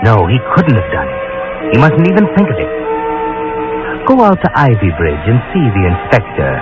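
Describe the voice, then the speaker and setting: A man's voice: medium pitch, wildly swooping pitch, brisk. An actor playing an ominous, insinuating male narrator in a 1940s radio suspense drama, speaking directly to the heroine. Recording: dull 1940s radio recording with music.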